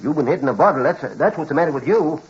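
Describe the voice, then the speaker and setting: An actor playing a gruff, suspicious roadside stand keeper with a curt, folksy way of scolding, in old radio drama style. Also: male voice, fairly high pitch, expressive, very fast, lightly acted. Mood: irritated, scolding. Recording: dull, muffled vintage radio recording.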